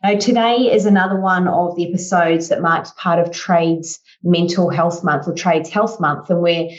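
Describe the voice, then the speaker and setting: A woman's voice: fairly low pitch, fairly even intonation, normal speed, lo-fi sound. A woman hosting a podcast, upbeat, introducing her guest and summing up his answers. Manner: upbeat, warm interviewer who summarises answers. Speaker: podcast host.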